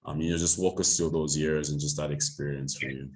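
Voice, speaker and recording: A young man hosts a podcast interview in an extremely deep, low voice. He talks loosely and casually, leaning on likes and you knows as he goes. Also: flat delivery, a little fast. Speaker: podcast host.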